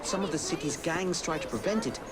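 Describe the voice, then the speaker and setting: An actor playing a courteous, earnest, well-informed mentor in a video game, speaking in a measured, polite manner. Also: male voice, a little high, expressive, natural voice. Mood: grave. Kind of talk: video game cutscene acting. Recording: music and noisy street behind.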